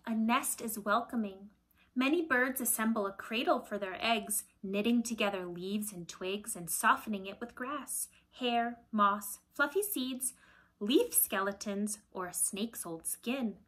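A woman in her thirties reading aloud to children like a teacher, deliberate and expressive, with a breathy voice that often drops to a hush. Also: fairly high pitch. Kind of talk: children's picture-book read-aloud. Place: small indoor room at home with shelves.

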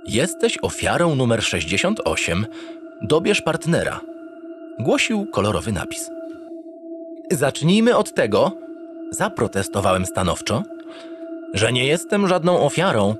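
A man's voice: mid-range voice, sing-song, measured pace, long pauses. A man narrating a comic first-person audio drama in a theatrical, mock-pompous manner. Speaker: narrator in character as a starship captain. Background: music.

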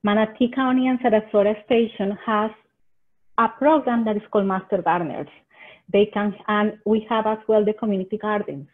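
A woman's voice: medium pitch, fairly even intonation. Colombian-accented woman over a tinny call. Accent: Colombian Spanish. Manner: earnest, halting non-native phrasing. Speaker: extension agent presenter. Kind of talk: webinar presentation. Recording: tinny phone-like webinar audio.

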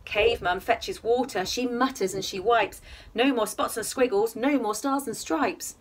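High-voiced woman reading a bedtime story. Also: unhurried, recorded from a distance, rough recording. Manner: animated, singsong, asks the listener questions. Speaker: children's bedtime story reader. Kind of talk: expressive picture-book storytelling for young children. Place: small attic room at home, indoors. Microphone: camera a short distance away.

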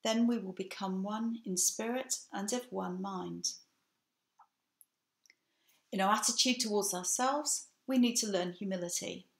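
A woman in her sixties with an English accent, giving a measured, earnest sermon from home to a webcam. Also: mid-range voice. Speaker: preacher. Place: home room with bookshelf, indoors. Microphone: webcam, seated facing camera. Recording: echoey room.

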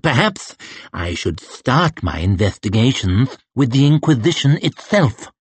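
A man voicing a bitter, crippled male torturer who talks in a low, quiet, cutting way. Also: very animated, a little slow, breathy edge, no room sound. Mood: menacing.

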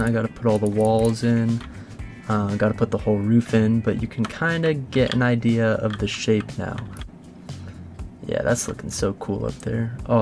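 A man's voice: mid-range voice, creaky. A man hosting a gaming let's play, explaining his build in an informal, chatty way as he thinks aloud. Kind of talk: casual gameplay commentary, explaining a build.

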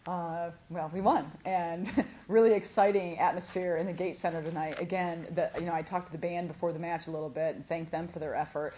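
A woman in her forties, a head volleyball coach, answering postmatch questions in an earnest, halting way with many restarts. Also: fairly low pitch, steady tone, mellow. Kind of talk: postmatch interview. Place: studio before a sponsor backdrop.

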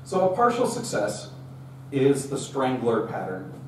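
A man's voice: medium pitch, wildly swooping pitch, measured pace, darkish tone. A middle-aged man giving a conversational, storytelling technical talk to a meetup audience, recorded from a distance. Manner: conversational storytelling, acts out quoted dialogue. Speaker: conference speaker. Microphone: room recording from far camera. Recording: roomy, distant, faint hum.

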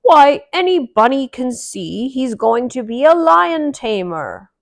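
A woman's voice: sits high, big pitch swings, slow, vocal fry, pitched above the performer's own voice, heavily acted. A storybook granddaddy rabbit acted out by a woman, old and very sure of himself. He speaks emphatically and draws his words out. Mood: bold, certain.

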